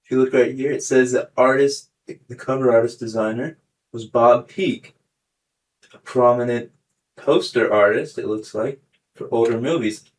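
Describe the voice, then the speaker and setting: A man's voice: mid-range voice, moderate pace. A man hosting a casual hands-on tech demonstration video, talking through each step as he goes. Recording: clearly noisy recording with clicks.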